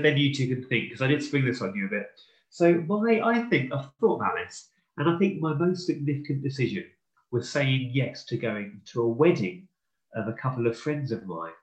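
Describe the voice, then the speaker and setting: A man's voice: mid-range voice, echoey room, rough recording. A man from Norfolk co-hosting a casual live podcast, chatty and self-deprecating, with a stuffy nose from a cold. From Norfolk, England. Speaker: podcast co-host.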